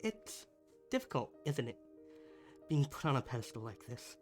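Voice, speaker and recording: A man playing a needy but kind-hearted supervillain in a roleplay ASMR monologue, speaking to the listener in a dramatic, earnest, halting way. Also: medium pitch, sing-song, close to an everyday voice. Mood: tender, sincere. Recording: music behind the voice. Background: background music.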